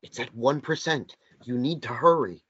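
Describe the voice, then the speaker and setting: Reader as dry-witted companion, mid pitch, lo-fi. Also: normal speed, fairly bright tone, breathy edge. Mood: wry. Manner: dry, wry remarks. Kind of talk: amateur table read. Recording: dull lo-fi recording.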